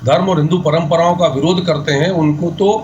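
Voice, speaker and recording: A man's voice: on the high side, fairly even intonation, a little fast, darkish tone, highs cut off, slight background noise. A man speaking as a party spokesperson in a televised debate, combative and accusatory, repeating his points.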